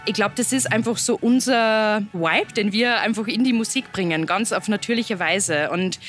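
A young woman from Upper Austria with an Upper Austrian accent, speaking as a musician guest in a relaxed interview, chatty and loose. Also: medium pitch, moderate pace, faint music behind. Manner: chatty, loose, laughs between thoughts.

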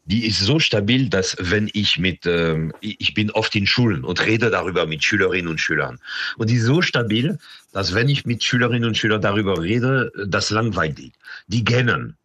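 Deep husky-voiced man from France. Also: expressive, moderate pace, fairly bright tone, no echo. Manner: hesitant, many fillers and restarts. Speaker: interview guest. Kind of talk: radio interview.

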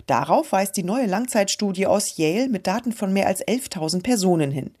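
A woman's voice: mid-range voice, lively intonation, fairly quick, darkish tone, slightly rough. A woman narrating a scripted radio report as a reporter. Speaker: news reporter.

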